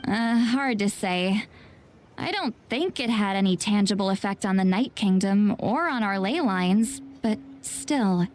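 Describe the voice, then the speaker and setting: A woman playing a laid-back, sharp-witted shaman in a video game, with a drawling, nonchalant delivery. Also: medium pitch, lively intonation, unhurried, a little hiss or hum, lightly acted. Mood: musing.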